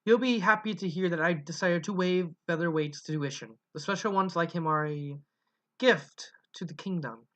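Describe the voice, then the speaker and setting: A reader voicing a calm, gracious pony princess who speaks softly and with composure. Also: medium pitch, normal speed, close to an everyday voice. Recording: dull lo-fi recording.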